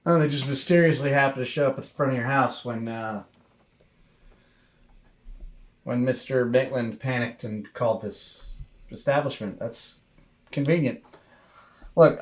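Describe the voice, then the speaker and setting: A man's voice: mid-range voice, fairly quick, lightly acted. A man playing a suspicious deputy in a tabletop game, questioning kids in a dry, probing way. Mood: dry suspicion.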